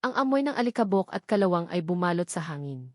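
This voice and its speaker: A woman's voice: fairly low pitch, big pitch swings, quick pace, no echo. Filipino woman narrating a dramatic story, dry sound.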